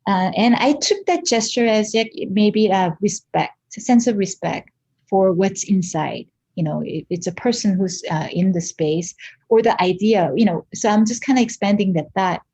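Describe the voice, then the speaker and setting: A woman from South Korea with a Korean accent, speaking as a guest artist in an interview in a thoughtful, halting way with many fillers and restarts. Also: medium pitch, warm tone, breathy edge. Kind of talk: reflective interview talk.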